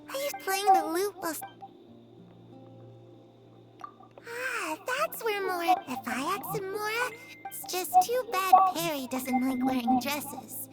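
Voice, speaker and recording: A woman voicing a gentle, wistful young girl in a video game, with an earnest, sweet, girlish delivery. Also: very high voice. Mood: eager, excited.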